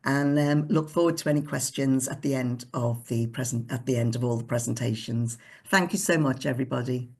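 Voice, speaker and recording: A woman with a low voice presents her charity's support services over a video call. She goes through the list steadily and plainly. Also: fairly quick, a little mellow. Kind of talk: online forum presentation.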